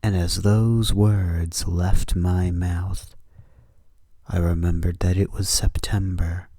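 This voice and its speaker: A man's voice: low-pitched, flat delivery, slow, mellow, slightly rough, no room sound, studio quality. A man hosting and narrating horror stories in a dramatic reading style. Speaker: host and narrator.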